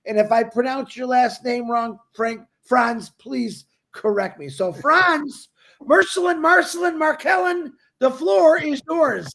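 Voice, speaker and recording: Super high-pitched guy hosting, jokey, piles on greetings. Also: unhurried, creaky, fairly bright tone. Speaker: co-host. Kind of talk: upbeat live-stream introduction.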